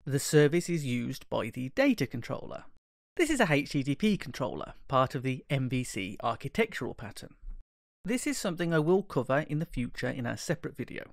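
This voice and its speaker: Gravelly man explaining code. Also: medium pitch, fairly quick, dry recording, clean studio sound. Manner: clear, explanatory, steady. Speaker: programming tutorial narrator. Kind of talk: scripted screencast narration.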